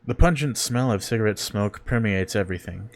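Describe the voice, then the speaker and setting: A man's voice: fairly low pitch, brisk, no room sound. A man streamer reading a visual novel aloud, breaking off for jokey, mock-disgusted casual asides. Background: music.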